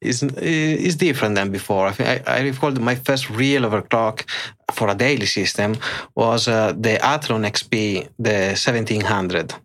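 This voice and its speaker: A middle-aged man with an Italian accent, answering interview questions in a halting way with many fillers and restarts. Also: on the low side, fairly bright tone. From Italy. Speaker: guest, extreme overclocker. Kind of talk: casual interview.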